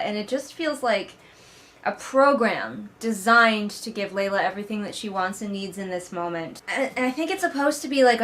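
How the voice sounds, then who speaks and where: Sarcastic woman giving a casual comic recap. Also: medium pitch. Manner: sarcastic, exasperated, swears casually; acts out mocking character quotes. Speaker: host of a book read-along.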